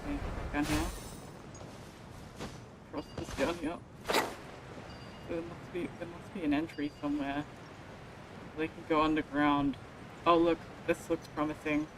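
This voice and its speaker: A woman's voice: low voice, level tone, measured pace, long pauses, noisy background. A woman livestreaming a video game, commenting casually to herself in grumbling, muttered asides. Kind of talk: casual live gameplay commentary, talking to self. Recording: game music behind the voice. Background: video game music.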